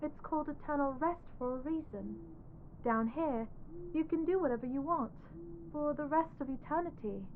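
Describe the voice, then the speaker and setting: A woman voicing a lovestruck, clingy undead corpse bride in an ASMR audio roleplay, soft, earnest and pleading. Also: sits high, normal speed, narrow, tinny audio, slight background noise, close to an everyday voice. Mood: coaxing, tender.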